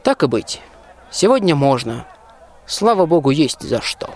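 A man's voice: on the high side, sing-song, a little slow. A young man playing a bold, plain-spoken soldier in a rhymed folk-tale radio play, with a declamatory folksy delivery. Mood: festive, commanding. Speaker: student actor in a school radio play.

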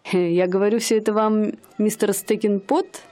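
A woman's voice: mid-range voice, fairly even intonation, normal speed, warm tone, lightly acted. An actress playing a young woman who poses as a bored rich lady in a radio play, speaking in a haughty, mannered way. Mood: languid. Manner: haughty, mannered, languid speech.

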